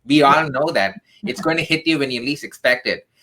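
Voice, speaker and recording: A man from southern India speaks with a bright, forward tone at an ordinary middle pitch. He tells his story earnestly and with emphasis as an interview guest, often checking in with "right?". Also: fairly quick, poor audio. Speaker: guest, tech career coach.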